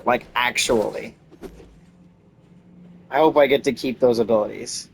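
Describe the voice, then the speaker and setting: A man's voice: medium pitch, a little slow, creaky, a little hiss or hum, low-quality recording. A man giving casual, off-the-cuff commentary as he plays and streams a video game.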